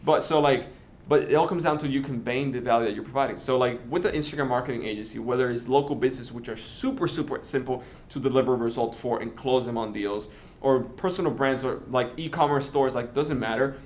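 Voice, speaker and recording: A man's voice: mid-range voice, slight background noise. A man in his twenties from the Dominican Republic, a native Spanish speaker, talking casually with lots of fillers and restarts. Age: 20s. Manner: fast, filler-heavy talk with many restarts. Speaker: host. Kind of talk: casual interview and tutorial talk.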